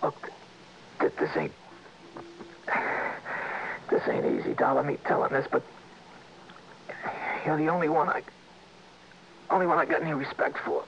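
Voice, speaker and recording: An actor playing a dying American safecracker in his early thirties in a radio drama, speaking in a halting, weary, uneducated tough-guy way. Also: fairly high pitch, very animated, creaky, lo-fi sound, slight background noise, heavily acted. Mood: halting, confiding. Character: dying early-thirties convict safecracker, bitter but grateful. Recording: band-limited vintage radio recording with music.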